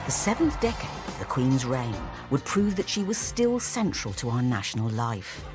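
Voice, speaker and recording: A narrator reads a scripted documentary-style piece. The voice is mid-pitched and moves widely in tune, with music clearly playing behind. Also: very animated, normal speed.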